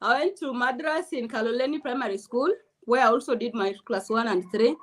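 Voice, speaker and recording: A woman with a high, bright voice speaks as an interview guest. She is blunt and emphatic, driving her political points home without softening them. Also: fairly quick. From Kenya.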